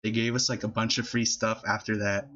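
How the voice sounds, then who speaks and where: A man's voice: on the low side, flat delivery, brisk, pushed and bright. Young male podcast host, laughs through his rambling stories, dull lo-fi sound. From Filipino family, California. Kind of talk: casual storytelling chat.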